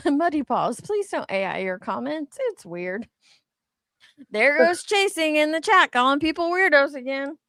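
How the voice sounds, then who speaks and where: A woman's voice: high voice, animated, a little slow, a bit bright, dry recording, studio quality. A woman co-hosting a casual chat, reacting to comments in a sassy, teasing way. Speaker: co-host reacting to viewer comments.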